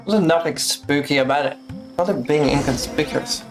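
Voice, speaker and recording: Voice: medium pitch, moderate pace. A streamer giving casual, blunt live commentary on a game. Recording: game music behind the voice.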